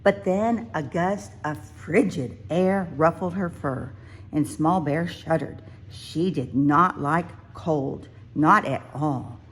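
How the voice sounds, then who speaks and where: A woman reading a children's picture book aloud as scripted narration, with expressive, deliberate phrasing. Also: deep voice, breathy edge.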